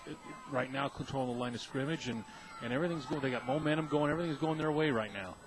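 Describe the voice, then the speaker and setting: A man's voice: medium pitch, very fast. An American man adding casual analysis as color commentator on a radio football broadcast. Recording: noisy outdoor stadium radio broadcast.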